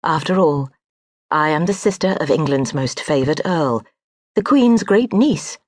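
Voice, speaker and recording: Narrator voicing proud noblewoman, imperious, crisp commands, lifted pitch, dry studio sound, storybook. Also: expressive, a little slow, no echo. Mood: commanding.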